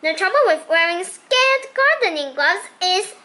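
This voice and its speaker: A woman reading a children's story aloud expressively, in a childlike storytelling manner with deliberate phrasing. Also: extremely high pitch, expressive, noisy background. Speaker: storybook reader.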